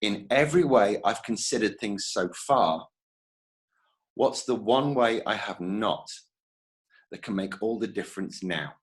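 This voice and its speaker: A man talking in a webinar discussion as a hypnotist, slowing down to repeat a tricky phrase. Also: lowish voice, expressive. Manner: plays with tricky phrasing, slows down for key lines. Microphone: video call.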